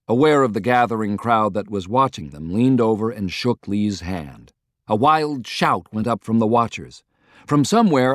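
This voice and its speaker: A man's voice: medium pitch, no room sound. Man narrating, dry close sound. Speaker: audiobook narrator. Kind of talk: scripted dramatic narration of a historical novel.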